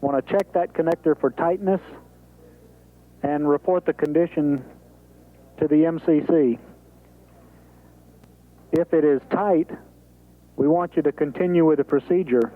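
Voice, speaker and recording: A man's voice: on the high side, a little slow, pauses often, narrow, tinny audio. An American man at mission control relaying procedures over radio in a businesslike, step-by-step way. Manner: clear step-by-step instructions, businesslike. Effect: radio transmission. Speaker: capsule communicator at mission control. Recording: thin, band-limited space radio link.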